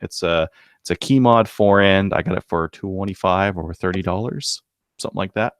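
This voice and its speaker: A man's voice: low-pitched, moderate pace, clean tone, no room sound, clean studio sound. A man co-hosting a podcast, chatting casually and jokingly in a laid-back way. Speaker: podcast co-host. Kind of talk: casual banter about shooting and gear.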